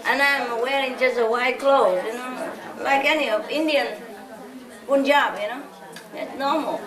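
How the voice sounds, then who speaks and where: A woman's voice: high-pitched, a little slow. Woman, spiritual teacher, chatty and giggly, recorded from afar with a crowd around. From Vietnam. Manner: chatty, laughing often, rambling asides. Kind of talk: informal lecture to followers. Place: large hall with a live audience. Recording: echoey hall, distant mic, audience noise.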